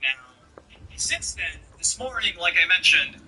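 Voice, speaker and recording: A man's voice: high-pitched, sing-song, unhurried, pushed and bright, slight background noise, low-quality recording. A man giving casual video game news commentary as a YouTuber, informal and slangy, speaking straight to his viewers.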